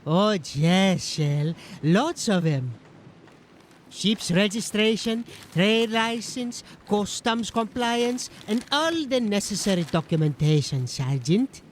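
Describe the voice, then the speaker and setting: A man's voice: high-pitched, expressive, takes it slowly, a little hiss or hum. A man playing a loyal male crewman on a ship who reads out the documents dutifully.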